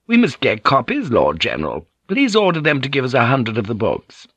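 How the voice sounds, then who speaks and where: A man's voice: medium pitch, wildly swooping pitch, brisk, fairly bright tone, no room sound, voice pushed up, exaggerated voice. Noble lady Ochiba, teasing and silky, as a male narrator voices her, dry close sound. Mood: eager.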